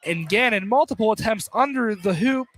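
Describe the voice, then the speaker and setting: A man's voice: high voice, expressive, normal speed, a bit bright. A man doing casual, informal live play-by-play commentary of a basketball game for radio. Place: courtside at a college basketball game, noise behind.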